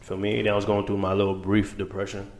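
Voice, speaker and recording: A man's voice: on the low side, completely flat delivery, fast. A man chatting casually with friends in an easygoing, slangy way, often asking "feel me". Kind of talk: casual group chat.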